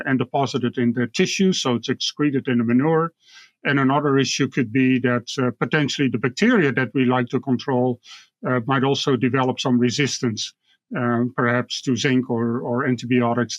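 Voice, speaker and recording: A man with a Dutch accent explaining things as an expert guest in an interview, measured and halting, often repeating words. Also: mid-range voice, dry recording, lo-fi sound. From Netherlands. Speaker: guest expert, university professor.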